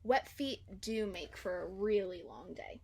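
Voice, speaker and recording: A woman's voice: mid-range voice, animated, unhurried, gravelly, darkish tone, husky. A woman narrating a children's story aloud, expressively and with dramatic emphasis. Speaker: storybook reader.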